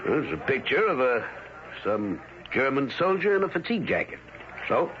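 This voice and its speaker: An actor in an old-time radio drama playing a skeptical, hard-nosed newspaper editor who talks in blunt, challenging bursts. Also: male voice, medium pitch, sing-song, moderate pace, close to an everyday voice. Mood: dismissive. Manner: blunt, challenging, clipped questions. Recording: muffled, noisy old radio recording.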